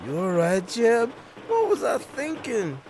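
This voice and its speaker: A man's voice: very high-pitched, huge pitch swings, slow, a little mellow, a little hiss or hum, full character voice. A man acting in a comedy horror audio drama as a meek, hesitant younger brother. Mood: sheepish. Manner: hesitant, submissive. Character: meek, slow-witted hillbilly younger brother, reluctant sidekick.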